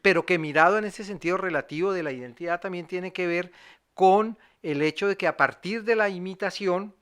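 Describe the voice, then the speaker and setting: Male theatre commentator, fairly high and very bright voice, discursive and reflective, seeks agreement. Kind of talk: reflective spoken analysis.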